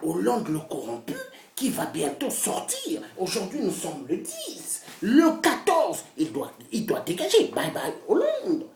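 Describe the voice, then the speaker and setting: Woman activist, fiery rant, wildly lively pitch, recorded from a distance. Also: measured pace, gravelly, slight background noise. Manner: fiery and repetitive, swinging from loud outbursts to hushed whispers. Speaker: political activist. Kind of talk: impassioned political rant to camera. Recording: roomy echo, voice far from the mic.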